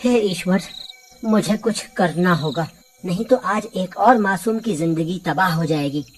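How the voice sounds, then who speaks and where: A curious, suspicious village hero from a cartoon, voiced by a woman. He speaks slowly in an earnest, questioning way, over faint music. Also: fairly low pitch, voice pushed down, close to an everyday voice. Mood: wary, alarmed.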